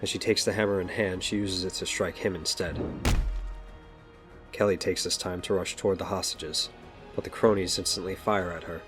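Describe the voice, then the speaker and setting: A man's voice: sits low, steady tone, normal speed, music in the background. A man narrating a scripted audio drama, telling the action as a storyteller.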